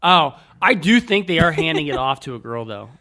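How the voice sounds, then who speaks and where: A man's voice: fairly high pitch, big pitch swings, a little fast, a crowd in the background. A man co-hosting a film podcast, talking casually in a teasing, opinionated way.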